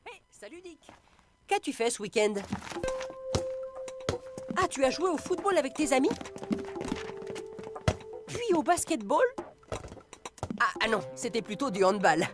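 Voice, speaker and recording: A woman presenting a scripted radio segment, explaining in a playful, quiz-like way. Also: fairly high pitch.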